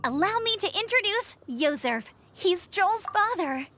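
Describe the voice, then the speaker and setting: A woman playing a friendly, energetic young female scout in a video game. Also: very high voice, unhurried, faint music behind, exaggerated voice. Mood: cheerful.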